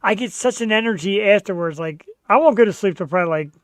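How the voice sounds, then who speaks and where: A man's voice: high voice, fast, a bit bright, no room sound. A man hosting his own podcast, talking casually in an earnest, rambling, self-deprecating way. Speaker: podcast host. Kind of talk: casual chat.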